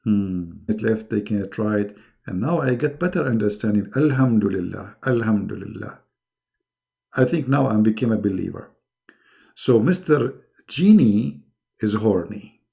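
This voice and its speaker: A man's voice: lowish voice, animated, moderate pace, creaky, warm tone. A man speaking English as a second language, hosting a live-stream debate in a sarcastic, mocking way and repeating words for emphasis. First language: not English.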